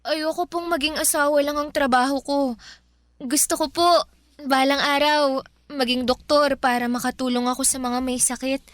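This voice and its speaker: A woman's voice: sits high, steady tone, normal speed, no room sound, close to an everyday voice. A woman playing a timid, earnest girl of thirteen in a Filipino radio drama, polite and pleading. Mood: earnest, hopeful. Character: timid, earnest orphaned girl of thirteen, respectful but questioning.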